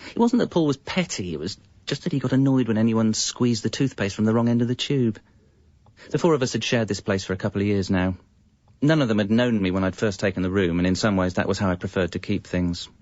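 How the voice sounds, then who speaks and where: An actor playing a wry, evasive British husband and father in his thirties, confessing in a deadpan, conversational comic narration. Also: fairly low pitch, fast talker, no room sound, natural voice. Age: 30s. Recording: dull, lo-fi radio audio. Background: music.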